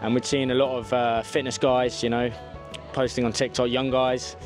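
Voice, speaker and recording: Flat-toned man over music. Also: medium pitch, moderate pace. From London. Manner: earnest, repeats "you know". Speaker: fitness coach, interviewee. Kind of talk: casual interview. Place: indoor beach café. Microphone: handheld mic. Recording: music playing behind.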